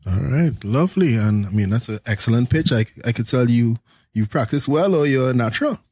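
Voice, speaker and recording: Husky-voiced man hosting a podcast. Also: mid-range voice, expressive, brisk, a little mellow, no room sound. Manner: friendly, chatty, laughs easily. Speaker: podcast host. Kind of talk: podcast interview.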